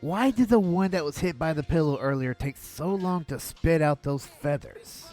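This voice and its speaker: A young man reviewing an old cartoon in a sarcastic, mocking voice-over with deadpan jabs. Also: on the high side, normal speed, music in the background.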